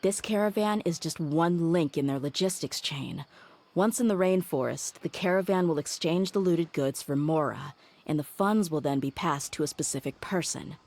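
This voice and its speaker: A woman voicing a tough young female mercenary in a game cutscene, steady and loyal, thoughtfully reasoning things out aloud. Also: low-pitched, normal speed, slight background noise.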